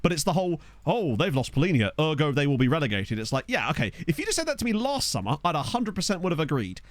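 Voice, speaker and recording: A chatty man talks his way through a football management game, wandering from thought to thought and chuckling at the players he finds. His pitch bounces around with lots of life. He sits close to a desk microphone in a dry room. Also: sing-song, fast talker, no room sound. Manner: chatty, rambling, laughs often, reacts aloud to players. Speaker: gaming streamer. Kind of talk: casual gameplay commentary.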